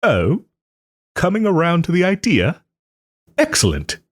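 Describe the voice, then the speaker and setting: A man playing a smug but soft-hearted nobleman and former lover in an audio roleplay, suave and refined, with gentle teasing and hesitant pauses. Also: high-pitched, wildly swooping pitch, dry recording, studio quality, heavily acted. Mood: pleased, cautious. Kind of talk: audio roleplay, dramatic monologue to listener.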